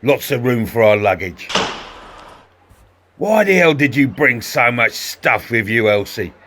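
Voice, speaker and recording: A man playing a gruff, impatient, bullying British traveller in an amateur radio play, curt and bossy. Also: medium pitch, animated, a little slow, natural voice. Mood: irritated. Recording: clearly noisy amateur recording.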